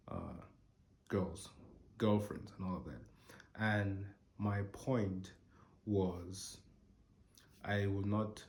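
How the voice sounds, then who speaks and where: A man in his twenties, hoarse from a cold, telling a personal story slowly and thoughtfully to his channel. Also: low voice, a little mellow, echoey room, slight background noise. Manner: slow, thoughtful storytelling with pauses. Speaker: channel host. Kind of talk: reflective personal monologue.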